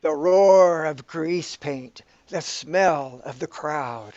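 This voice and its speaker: A man's voice: fairly high pitch, drawn-out words, a little mellow, dry recording. A man playing a laid-back, philosophical character who explains things matter-of-factly in an amateur audio drama. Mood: musing. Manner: casual, philosophical, unfazed. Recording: dull lo-fi dry recording.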